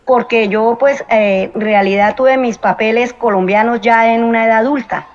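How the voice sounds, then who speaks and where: A woman's voice: a little high, steady tone, normal speed, lo-fi sound. A woman in her forties from Venezuela, speaking as an interview guest in a hesitant, thoughtful way with many fillers. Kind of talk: personal interview.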